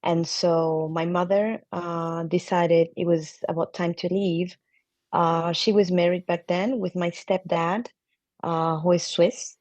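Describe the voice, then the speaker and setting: Soft-spoken Peruvian-born woman, hesitant. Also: on the low side, steady tone, slow pace, dry recording. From Peru. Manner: thoughtful, hesitant, many fillers, soft laughs. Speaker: film director guest. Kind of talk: podcast interview answers.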